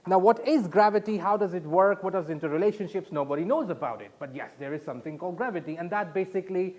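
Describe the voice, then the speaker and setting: A man with a fairly high voice speaks as a conference presenter from Saudi Arabia. He explains things haltingly, often stopping to restart, and poses questions to his listeners. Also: darkish tone, muffled recording.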